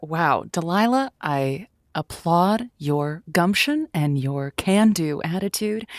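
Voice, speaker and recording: Animated, encouraging woman advice host. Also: fairly low pitch, no echo, clean studio sound. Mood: tactful, taken aback. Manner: earnest pep talk with quaint turns of phrase. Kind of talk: comedic audio drama, conversational advice show.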